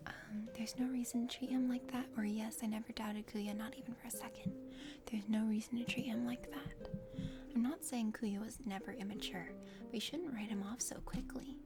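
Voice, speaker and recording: A woman voicing a kind, earnest young aspiring knight in a breathy ASMR game reading. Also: on the high side, fairly even intonation, normal speed, lightly acted. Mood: protective. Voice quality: whispery, breathy. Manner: gentle, sincere. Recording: soft music behind.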